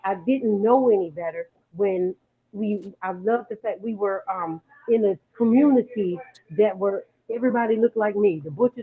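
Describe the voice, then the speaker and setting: A woman calling into a panel, telling a personal story in a halting way. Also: mid-range voice. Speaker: call-in panelist. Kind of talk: casual panel discussion. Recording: tinny phone line with faint hiss.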